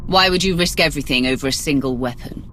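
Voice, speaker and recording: A woman's voice: deep voice, fast, fairly bright tone, a little hiss or hum. An actress voicing a firm, composed and authoritative female commander in a video game. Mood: stern. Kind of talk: video game cutscene acting. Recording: game music under the voice.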